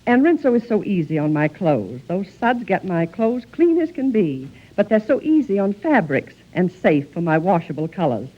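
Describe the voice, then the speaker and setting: A woman's voice: mid-range voice, big pitch swings, moderate pace, hardly any pauses. An actress playing a proud, cheerful housewife and mother giving a testimonial in a 1940s radio ad. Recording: muffled 1940s radio recording.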